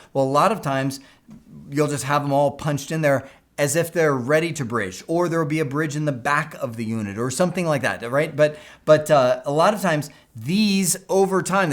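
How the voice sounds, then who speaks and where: Higher-pitched man giving an informal technical explainer. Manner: conversational, checks in with "right?". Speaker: instructor explaining school PA systems.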